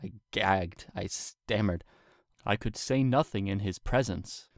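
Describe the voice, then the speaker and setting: A man narrating a story in an earnest, deliberate, dramatic reading style. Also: fairly low pitch, dry recording, clean studio sound. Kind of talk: dramatic scripted narration of fan fiction.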